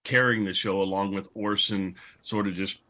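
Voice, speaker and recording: A man's voice: sits low, steady tone, moderate pace, sharp tone. A man moderating a casual panel discussion, talking in an enthusiastic, appreciative way. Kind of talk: casual panel discussion about old radio.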